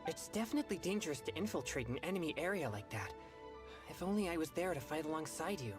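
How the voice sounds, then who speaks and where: A man's voice: a little high, expressive, fast talker, music in the background, lightly acted. A man voicing an earnest, reluctant young friend in a video game, with a sincere, grumbling manner. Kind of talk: anime-style video game acting.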